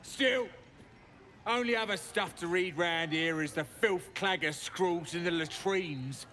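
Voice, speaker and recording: An actor playing a dim, boastful goblin in a video game, chatting in a rough, rambling British voice. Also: male voice, sits high, slow, bright, forceful tone, a little hiss or hum, exaggerated voice. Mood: chatty, puzzled. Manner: colloquial, rambling. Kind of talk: video game character acting.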